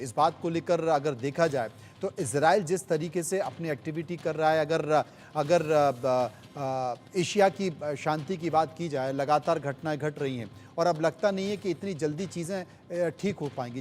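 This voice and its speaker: A man anchoring a live news discussion, speaking emphatically and repeating phrases for effect. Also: fairly high pitch. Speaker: news anchor hosting a panel.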